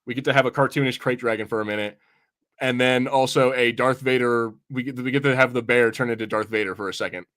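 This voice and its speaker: A man's voice: medium pitch, fairly even intonation, quick pace, a bit bright. A man hosting a podcast, chatting casually in a rambling, informal, sometimes sweary way about what he has watched. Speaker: podcast host. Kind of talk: casual review chat.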